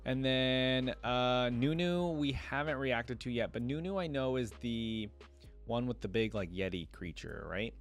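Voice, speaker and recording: A man's voice: mid-range voice, unhurried, faint music behind. A man giving casual, rambling reaction commentary as a video host, often checking in with the listener. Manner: rambling, checks in with "right?" and "you know".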